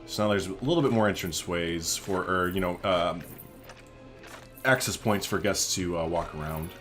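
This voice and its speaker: A man's voice: low-pitched, normal speed, fairly bright tone, faint music behind. A man giving casual, chatty gameplay commentary as a let's play host, thinking aloud informally as he goes.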